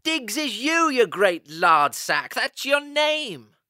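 A man's voice: very high-pitched, very animated, slow pace, bright, forceful tone, no room sound, studio quality, voice pushed up, exaggerated voice. A man doing a cheeky young hare soldier. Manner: cheeky and jovial. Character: hearty greedy young male hare soldier. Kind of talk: audiobook character voice.

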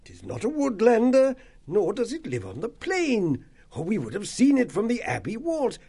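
A man doing a frail, creaky old abbot mouse. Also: sits high, huge pitch swings, normal speed, voice pushed up, full character voice. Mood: puzzled. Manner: gentle, mildly stammering, wondering. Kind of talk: audiobook character voice.

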